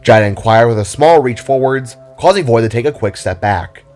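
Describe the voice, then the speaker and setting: A man's voice: mid-range voice, a little fast, darkish tone, faint music behind. A man narrating a scripted audio drama in a dramatic storytelling style.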